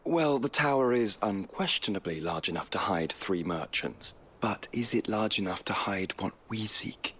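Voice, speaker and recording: A man's voice: fairly low pitch, expressive, normal speed, hardly any pauses, a bit bright, slightly rough. An actor with a British accent playing a thoughtful, scholarly young nobleman in a video game, formal and articulate.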